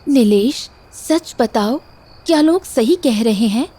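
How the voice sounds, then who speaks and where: High-voiced earnest young village wife, acted by a woman. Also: lively intonation, takes it slowly, warm tone, slightly rough, heavily acted. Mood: hurt. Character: sincere, hopeful but hurt young wife in a village. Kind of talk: cartoon moral story voice acting.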